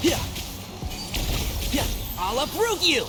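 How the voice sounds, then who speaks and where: A woman's voice: sits high, big pitch swings, slow, heavily acted. A woman voicing a feisty, spirited young forest-ranger girl, calling out short attack lines in a video game. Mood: feisty, combative. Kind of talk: video game battle callouts. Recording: game audio under very loud music.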